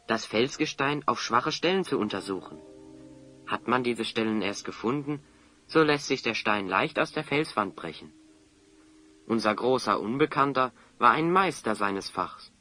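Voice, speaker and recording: A man playing a wise, calm and courteous adventurer in a radio play, assured and articulate. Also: medium pitch, measured pace. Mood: grave, explaining. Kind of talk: German radio play acting.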